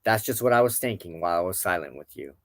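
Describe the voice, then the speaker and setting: A man's voice: deep voice, animated, fast, no echo, voice pushed down. A man voicing a gracious otherworld king in a storybook tale, formal and courtly. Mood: earnest. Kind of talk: storybook character dialogue in narration.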